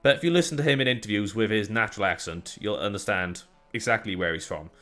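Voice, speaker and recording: A man's voice: lowish voice, quick pace, fairly bright tone. A young man from Wales with a light Welsh accent, co-hosting a casual tech podcast in a chatty, joking, rambling way. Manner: chatty, jokey, rambling with restarts. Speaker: co-host.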